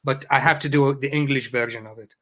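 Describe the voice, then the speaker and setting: A man's voice: medium pitch, fairly even intonation, quick pace, fairly bright tone. A middle-aged man from Iraq, a native Arabic speaker, chatting casually as a podcast guest, jokey and full of hesitant fillers. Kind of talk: casual conversation.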